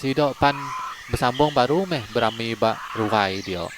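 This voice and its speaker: A man's voice: mid-range voice, a little slow, noisy background. A man telling a long folk story in a conversational way as a channel storyteller, then asking listeners to like and subscribe. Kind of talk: told folk story in Iban.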